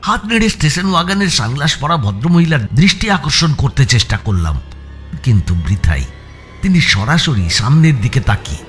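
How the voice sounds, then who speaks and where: Animated man narrating a suspense thriller. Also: medium pitch, moderate pace. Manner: suspenseful, theatrical delivery. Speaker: story narrator. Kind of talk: dramatic scripted thriller narration. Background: background music.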